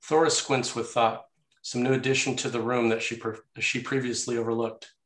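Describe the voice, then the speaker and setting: A man's voice: mid-range voice, little pitch movement, moderate pace, fairly bright tone. A man reading scene headings and stage directions as the narrator of a screenplay table read. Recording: roomy, echoey sound.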